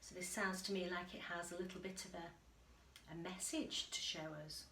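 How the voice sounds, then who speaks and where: A woman reading a children's picture book aloud like an infant school teacher, animated and emphatic, with chatty asides. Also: on the low side, slight background noise. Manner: animated, deliberate storyteller with emphatic delivery. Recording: roomy, echoey indoor sound.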